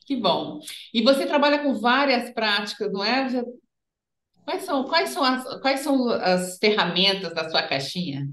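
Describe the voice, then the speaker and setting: A woman's voice: a little high, expressive, normal speed, a bit bright, reverberant. A woman interviewing a guest over a video call, prompting her with short, encouraging questions.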